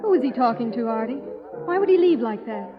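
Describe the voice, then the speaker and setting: A woman's voice: fairly high pitch, lively intonation, fairly quick, a loud crowd, natural voice. An actress playing an earnest, eager, friendly young woman in an old-time radio drama. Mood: puzzled. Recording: muffled old radio, loud party music.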